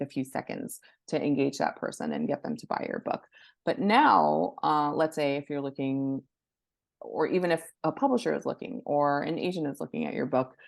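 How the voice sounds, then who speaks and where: Low-pitched woman giving casual interview advice. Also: creaky, darkish tone, dry recording. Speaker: podcast guest, book marketing professional.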